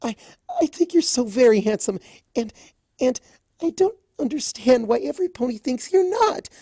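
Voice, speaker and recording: A narrator putting on a high, timid young girl pony. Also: expressive, dark tone, husky, dry recording, clean studio sound. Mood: tearful confession. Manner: halting, stammering, murmuring. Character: shy, timid, flustered young female pegasus pony.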